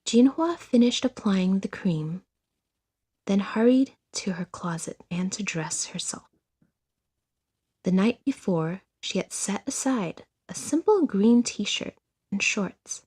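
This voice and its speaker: Slow, husky woman narrator. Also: medium pitch, expressive, darkish tone. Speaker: audio drama narrator. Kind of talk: scripted story narration.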